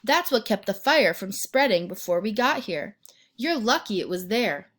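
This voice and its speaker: A woman storyteller voicing a calm, matter-of-fact male firefighter in a children's picture book, offering plain reassurance. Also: mid-range voice, moderate pace.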